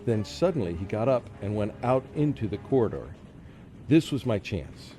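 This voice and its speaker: A tormented professor played by a man narrates a radio play in a fairly low voice. He sounds fearful and anguished, halting at times and breaking into frantic outbursts. Music plays clearly behind him. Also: expressive, mellow, natural voice. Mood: tense suspense. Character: tormented, fearful male professor under a deadly curse. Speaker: narrating lead in a radio drama. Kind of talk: dramatic first-person radio play narration. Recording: prominent music under the voice.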